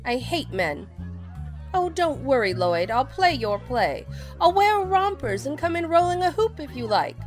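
Woman acting a high-voiced, biting, proud stage diva. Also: sing-song, measured pace, soft background music. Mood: bitter, wounded. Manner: sardonic, dramatic delivery. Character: proud, biting forty-year-old stage actress, wounded and theatrical. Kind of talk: audio drama acting.

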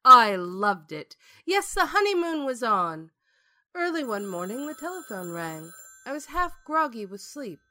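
An actress playing a possessive, teasing stage star who is insecure in love, in a radio drama with first-person narration. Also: female voice, a little high, sing-song, measured pace, sharp tone, dry recording, studio quality, full character voice. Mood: wistful narration.

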